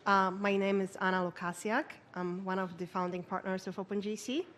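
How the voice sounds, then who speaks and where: A woman from Poland with a Polish accent, introducing herself on a panel in halting speech with many fillers. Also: medium pitch, steady tone, fairly bright tone, slight background noise. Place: conference hall.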